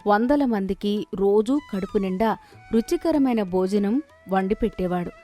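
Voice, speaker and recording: A woman narrates a scripted moral story. Her pitch sits in the middle for a woman, and music plays behind her. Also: animated, unhurried, warm tone.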